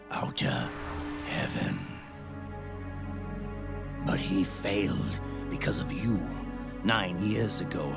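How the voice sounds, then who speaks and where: An actor playing a grandiose, menacing older villain in a video game cutscene, delivering a slow monologue to his brother. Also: low-pitched, vocal fry, full character voice. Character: brooding older male villain, Snake's brother.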